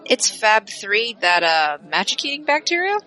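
A bright female voice with animated pitch, an actress as a streetwise bounty hunter in an audio drama. She is playful and coaxing, all cheeky sweet talk. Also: normal speed. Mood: teasing. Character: cheeky, sweet-talking streetwise woman in a bounty-hunting crew.

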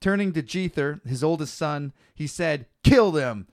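Young male podcast co-host, slightly higher voice, reads scripture out and riffs on it. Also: expressive, a little slow, vocal fry. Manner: reads Bible passages aloud between joking asides. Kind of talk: casual podcast chat.

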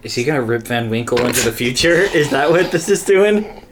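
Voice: medium pitch, animated, a little fast, crowd noise. A podcast co-host making irreverent, sweary, sardonic comic commentary in casual chat.

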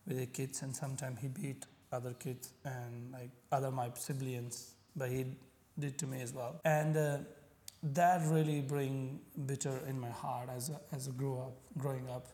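A man from Pakistan with a Pakistani accent and Punjabi as his first language, speaking haltingly as a podcast guest. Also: mid-range voice, level tone, warm tone, slight background noise. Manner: halting, searching for words, frequent fillers. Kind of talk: conversational interview.

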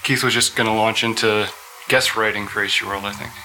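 A man hosting a live convention panel in a casual, halting, informal way. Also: fairly low pitch, steady tone, bright, forceful tone, poor audio. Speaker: panel host and podcaster. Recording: clear background noise on live recording.

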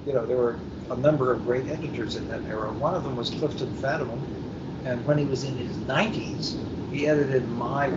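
An older man speaking as an editor on a podcast panel, emphatic and deliberate, often pausing mid-sentence. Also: steady tone. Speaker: book editor, podcast guest. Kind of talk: panel discussion on a live podcast. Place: hotel at a convention. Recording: dull, noisy internet-call recording with rumble.

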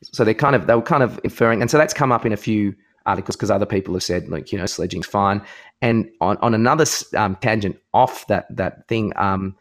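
An Australian man with a fairly low voice talks casually as a podcast co-host. He is opinionated and informal, happy to swear as he discusses sport. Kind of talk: casual chat about sport.

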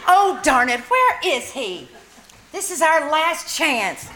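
A woman's voice: sits high, sing-song, slow pace, exaggerated voice. A woman playing a peppy, scheming, exasperated housewife in 1940s radio sitcom style, with theatrical delivery. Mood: impatient, scheming. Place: live performance at a winery. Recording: roomy live stage recording, faint noise.

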